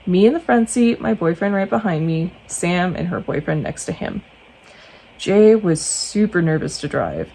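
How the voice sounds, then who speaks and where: Woman reading ghost stories, raspy gravelly voice, lively pitch, jokey asides. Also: moderate pace, rough recording. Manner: animated, jokey asides between read passages.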